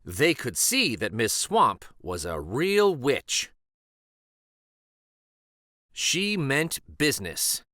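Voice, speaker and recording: A man reading a children's story aloud as an expressive narrator. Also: medium pitch, extremely slow, pauses often, no echo, studio quality.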